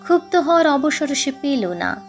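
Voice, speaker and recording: High-voiced woman narrating a story. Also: very animated, normal speed, faint music behind. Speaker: audiobook narrator. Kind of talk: dramatic scripted story narration.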